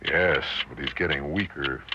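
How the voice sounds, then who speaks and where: An actor playing an earnest, weary, protective father in 1960s radio drama, pleading and reasoning in halting phrases. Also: extremely low pitch. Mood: grim, resigned. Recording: noisy, muffled old radio recording.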